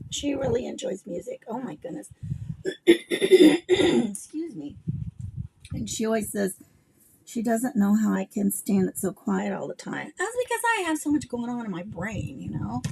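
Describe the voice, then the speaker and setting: Gravelly-voiced middle-aged woman. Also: mid-range voice, darkish tone, a little hiss or hum, rough recording. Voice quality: gravelly, gruff voice. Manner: chatty and rambling, thinking aloud while working. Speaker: craft livestream host. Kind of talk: casual craft demo chat.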